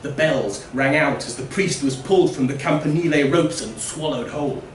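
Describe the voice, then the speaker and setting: A man's voice: a little high, moderate pace. Man reading a story, roomy and distant. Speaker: story reader. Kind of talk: dramatic literary story narration. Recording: roomy, distant miking with faint noise.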